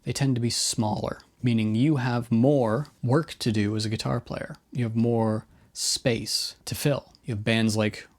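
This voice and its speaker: Middle-aged male video host, casual and opinionated, medium-pitched voice, essay-style commentary. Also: fairly even intonation, measured pace, hardly any pauses. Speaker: host of a guitar gear video.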